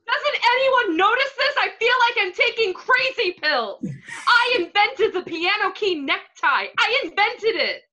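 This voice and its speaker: A woman's voice: extremely high pitch, expressive, unhurried, sharp tone, exaggerated voice. A woman voicing a ranting, egomaniacal male fashion mogul villain in a theatrical manner over a video call. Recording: muffled lo-fi video call audio.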